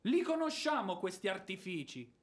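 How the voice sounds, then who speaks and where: An actor playing a blustering, indignant former army officer in a radio drama, declamatory and sarcastic. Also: male voice, high voice, big pitch swings, normal speed. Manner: declamatory, sarcastic, prone to bellowing. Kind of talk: theatrical Italian radio drama acting.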